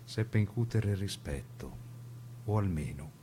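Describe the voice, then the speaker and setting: A man giving measured, grave scripted narration in the manner of a radio documentary. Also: low voice, lively intonation, warm tone, a little hiss or hum.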